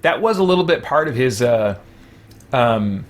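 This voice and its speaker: Casting: male gaming streamer, mid-pitched, informal let's-play chat, musing aloud as he goes. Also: lively intonation, measured pace.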